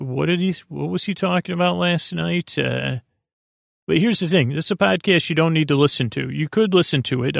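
A man hosting a bedtime podcast in a gravelly voice, rambling hesitantly and repetitively in a monologue meant to lull listeners to sleep. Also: mid-range voice, dry recording, studio quality. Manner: meandering, hesitant, repetitive, with murmured asides. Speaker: podcast host.

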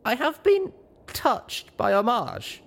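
Man putting on a very high, flustered young female pony. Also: wildly swooping pitch, slow, vocal fry, a bit bright, exaggerated voice. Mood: worried. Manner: earnest, questioning, squeaky when flustered.

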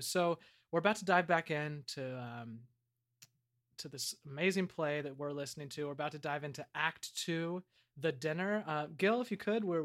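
A man's voice: fairly high pitch, a bit bright. A man hosting a comedy podcast and narrating its audio drama.

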